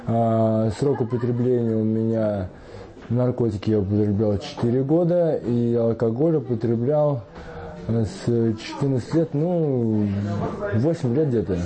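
A man in his twenties giving a halting, confessional interview answer. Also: medium pitch, crowd noise, rough recording. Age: 20s. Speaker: interviewee in rehab.

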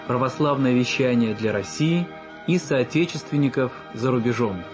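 A man's voice: medium pitch, normal speed. A man reading a scripted station ident as a radio announcer. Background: loud music behind the voice.

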